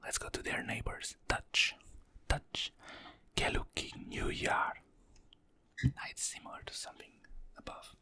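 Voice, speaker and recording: A person whispering ASMR-style, repeating phrases softly and apologizing for their pronunciation. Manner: soft whisper, repeats words slowly, apologetic about pronunciation. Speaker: ASMR creator.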